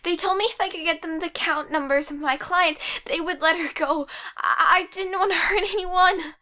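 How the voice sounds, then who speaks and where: A desperate, frightened woman played by an actress in an audio drama. Her voice is piercingly high and tense. She pleads and stammers as if in panic. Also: normal speed, bright, forceful tone. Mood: desperate, pleading. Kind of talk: amateur audio drama acting.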